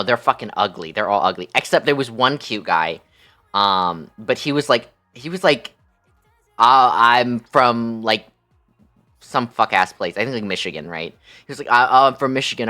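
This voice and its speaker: Sassy, bright-voiced male streamer. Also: fairly low pitch. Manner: sassy, campy, profane slangy talk. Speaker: live streamer. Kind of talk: casual livestream chat.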